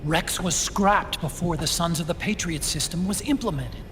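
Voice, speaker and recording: A man's voice: on the high side, moderate pace, natural voice. A man playing an anxious, earnest tech expert and loyal friend in a video game, speaking insistently. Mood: tense, realizing. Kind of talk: dramatic video game acting. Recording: noisy vehicle ambience.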